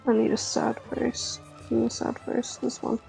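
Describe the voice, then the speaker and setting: A woman's voice: a little high, monotone, moderate pace, vocal fry, mellow. A woman chatting casually on a livestream, blunt, sweary and dryly self-mocking. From Australia. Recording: music playing behind the voice. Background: video game music.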